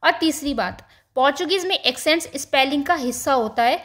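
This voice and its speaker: High-voiced woman teaching patiently. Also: a bit bright. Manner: patient, slows down to spell out example words; mixes English terms into her talk. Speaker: language teacher. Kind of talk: explaining a language lesson over slides.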